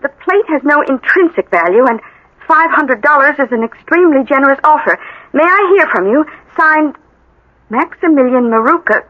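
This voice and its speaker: High-voiced, fluttery housewife. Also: female voice, lively intonation, normal speed. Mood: curious, excited. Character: excitable, trusting small-town housewife. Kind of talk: 1980 radio drama acting. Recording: dull vintage radio broadcast.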